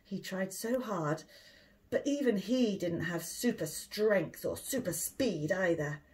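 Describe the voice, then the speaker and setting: A middle-aged woman with a British accent reading a children's storybook aloud, expressively and clearly for young listeners. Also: medium pitch, animated, takes it slowly, a little mellow. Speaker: speech and language therapist reading a story.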